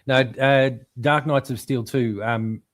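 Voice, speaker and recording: A male podcast co-host chatting casually about comics, halting and full of ums and restarts but enthusiastic. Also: medium pitch, fairly even intonation, no room sound.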